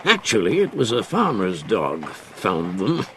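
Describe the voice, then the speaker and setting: A man's voice: fairly low pitch, wildly swooping pitch, a little slow, pushed and bright, slight background noise, heavily acted. A man playing a blustery, emphatic, easily astonished police chief in an old-time radio mystery. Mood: confident. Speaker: actor.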